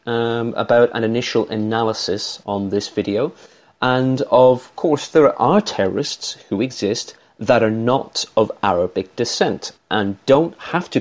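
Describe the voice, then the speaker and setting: A man with an Irish accent giving scripted, measured analytical commentary as a voice analyst. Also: mid-range voice.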